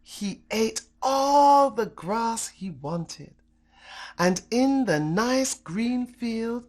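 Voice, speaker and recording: A woman reading a children's story as an expressive storyteller, with dramatic, deliberate phrasing. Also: on the high side.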